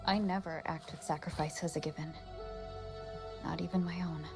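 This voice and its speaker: A woman playing a calm, resolute video game heroine who speaks with composed restraint. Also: low voice, normal speed, over music. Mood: calm resolve. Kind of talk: video game story dialogue.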